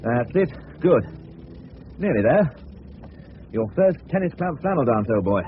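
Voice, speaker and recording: A man's voice: medium pitch, sing-song, measured pace. Chatty English father, hissy radio. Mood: genial, chatty. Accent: southern English. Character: chatty, fussy middle-class English father, grumbling snob. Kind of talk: 1970s radio drama acting. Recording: narrow-band old radio recording, clear hiss.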